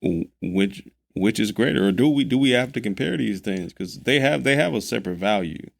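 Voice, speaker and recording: A man's voice: fairly low pitch, expressive, fairly quick, creaky. A man in his thirties co-hosting a podcast, talking in a laid-back, loose, slangy way and laughing often. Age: 30s. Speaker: podcast co-host. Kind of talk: casual chat.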